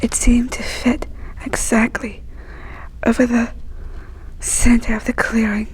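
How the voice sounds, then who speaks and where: A woman reading a children's story aloud in a hushed, breathy, whispery storytelling voice. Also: a little high, level tone, slow pace, poor audio.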